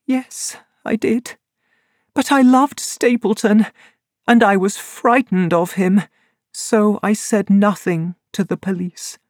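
A woman's voice: steady tone, slow, dry recording, natural voice. A reader voicing an upset young woman who pleads and confesses, in a dry, close recording. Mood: regretful. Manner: emotional, high and strained. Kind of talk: audiobook character reading.

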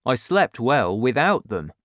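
A father with a lowered, hushed voice, confiding and scheming, as a man reads him aloud. The lines come slowly, with lively shifts in pitch. The sound is dry and close. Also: dry recording. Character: warm, scheming father, quietly resentful. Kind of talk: audiobook character voice.

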